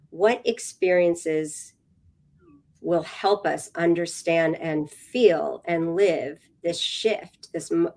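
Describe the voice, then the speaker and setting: Female moderator posing thoughtful questions. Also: lowish voice. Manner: searching, thoughtful questions with restarts. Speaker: panel moderator. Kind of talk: moderating a panel discussion.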